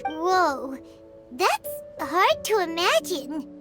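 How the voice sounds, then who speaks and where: A tiny fairy sidekick pipes up in a squeaky, very high voice that leaps all over the place. She is eager and excitable. Bright game music plays loudly behind her. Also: exaggerated voice. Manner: excitable, quick to react. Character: small chirpy female fairy companion, curious. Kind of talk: video game voice acting.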